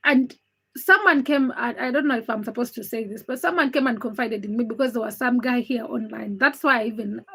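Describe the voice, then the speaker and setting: A woman's voice: a little high, fairly bright tone. A young woman from Uganda, not a native English speaker, hosting a casual livestream, chatting candidly and greeting viewers by name. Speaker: livestream host. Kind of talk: casual livestream chat reading comments.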